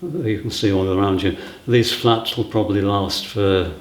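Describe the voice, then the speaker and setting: A man's voice: on the low side, normal speed. A man explaining things casually in an interview, recorded in an echoey room. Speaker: theatre member being interviewed.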